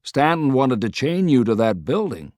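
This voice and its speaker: A man's voice: medium pitch, very animated, normal speed, darkish tone, no room sound, studio quality, natural voice. A man voicing a plain-spoken, weary male American president with a frank, folksy manner, in an audiobook. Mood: wry, warm.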